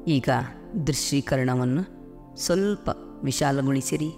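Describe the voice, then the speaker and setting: Slow, soothing male meditation guide. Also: medium pitch, darkish tone. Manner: slow, soothing, deliberate pauses. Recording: music behind the voice. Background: clear background music.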